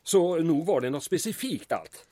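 An actor playing a courteous, beleaguered small-town mayor in a radio drama, with a formal, wordy and drily ironic manner. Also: male voice, a little high, very animated, mellow, no echo. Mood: worried, reasoning.

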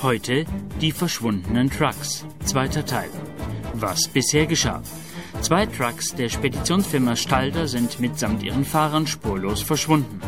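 A man's voice: medium pitch, animated, unhurried, over music. A man giving a scripted announcement and recap for a radio drama serial.

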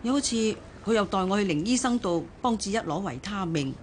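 A woman plays a loyal, fretful household helper in a radio drama. She is chatty and well-meaning, with a medium-pitched voice and a Hong Kong accent. Faint ambient noise sits behind her. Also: lively intonation, a little fast, lightly acted. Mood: fretful, explaining.

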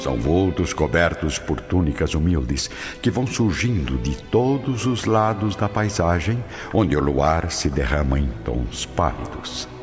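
A man with a very deep voice narrates a radio drama solemnly and theatrically. He speaks with lively intonation in a long reverb, over music. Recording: dull, reverberant, music underneath.

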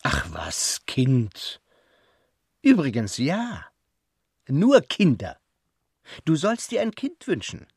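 An actor playing a pompous, zealous prophet and writer in a literary radio drama, declaiming with emphasis. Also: male voice, mid-range voice, wildly swooping pitch, gravelly, darkish tone, husky, no echo, studio quality, heavily acted. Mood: musing, dismissive. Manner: declamatory, emphatic, slow pronouncements.

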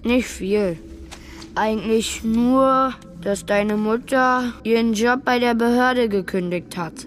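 A woman's voice: fairly high pitch, takes it slowly, faint music behind. An actress playing a chatty, ordinary young boy in a children's radio play. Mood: casual, chatty.

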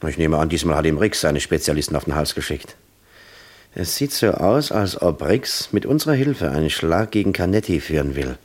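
A seasoned police lieutenant for a vintage radio play. He speaks low and bluntly, with the sardonic weariness of a cop who has heard it all. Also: animated, moderate pace. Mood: businesslike. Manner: world-weary, sardonic. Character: jaded, blunt police lieutenant, twelve years in service. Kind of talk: German radio play acting.

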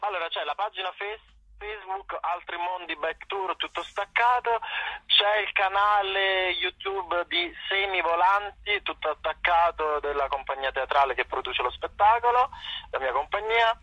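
Male actor-guest, fairly high bright voice, casual halting chat, dull phone sound. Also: dry recording. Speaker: actor and author, interview guest. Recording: dull dry phone call.

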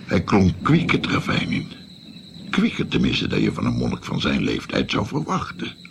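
An actor playing an old grandfather who reminisces haltingly as he tells his adventure in a radio play. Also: a bass voice, wildly swooping pitch, vocal fry, noisy background, full character voice. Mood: musing. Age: older. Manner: rambling, halting, hems as he recalls.

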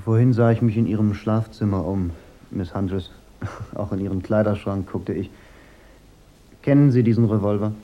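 A man playing a cool, sardonic private detective in a German radio crime drama, speaking in a dry, measured, laconic way. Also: lowish voice, highs cut off, lightly acted. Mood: dry, probing. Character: cool, sardonic private detective, unmasking killers with weary confidence. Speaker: detective and first-person narrator.

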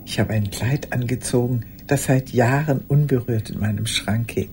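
An actress playing a lonely old woman who confesses haltingly and intimately in a radio drama monologue. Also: rumbling low, slight background noise. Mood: weary, confiding. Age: older. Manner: hesitant, halting, intimate, with hushed phrase endings.

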